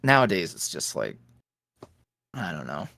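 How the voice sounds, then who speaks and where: Animated, rambling man telling stories. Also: sits low, measured pace, vocal fry. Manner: rambling, animated storytelling with frequent laughs. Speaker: ASMR roleplay creator, podcast guest. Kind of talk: casual interview chat.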